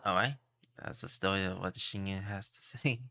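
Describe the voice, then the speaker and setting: Deep voiced guy reacting to a game on stream, gravelly, super noisy mic w clicks. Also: steady tone, normal speed. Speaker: game livestreamer. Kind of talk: casual reaction commentary.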